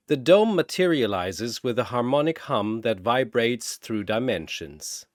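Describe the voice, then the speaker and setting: A male narrator delivers dramatic fantasy battle prose in a dry, close recording. His phrasing is slow and deliberate, with theatrical, widely moving intonation. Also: mid-range voice, no echo.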